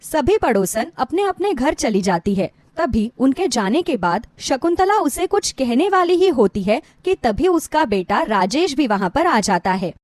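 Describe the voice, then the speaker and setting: A woman narrating a scripted moral story in an expressive manner. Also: sits high, measured pace, hardly any pauses, no echo, studio quality.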